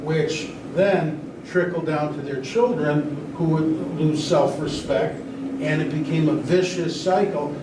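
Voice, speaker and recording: A man speaking on an informal discussion panel, explaining his view haltingly and repeating words as he goes. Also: a little high. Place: large room at a live event. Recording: echoey room, distant mic, noisy background.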